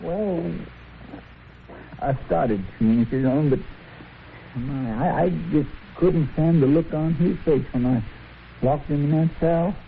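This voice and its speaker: Hesitant, soft-hearted western deputy. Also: male voice, fairly high pitch, takes it slowly, vocal fry, pauses often, narrow, tinny audio. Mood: apologetic, hesitant. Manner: hesitant, folksy. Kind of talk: 1950s radio western acting. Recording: thin, noisy 1950s radio recording.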